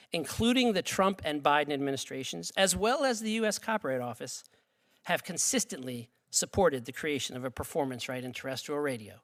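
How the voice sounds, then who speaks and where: A man's voice: on the high side, a bit bright. A man reading prepared testimony in a formal, emphatic way. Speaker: witness at congressional hearing. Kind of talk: prepared testimony read aloud. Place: congressional hearing room.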